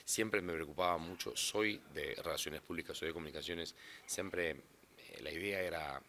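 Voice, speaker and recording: Very deep-voiced Brazilian man. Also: normal speed, bright, forceful tone, slight background noise. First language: Portuguese. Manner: rambling, restarts often. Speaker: interpreter. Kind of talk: casual interview talk.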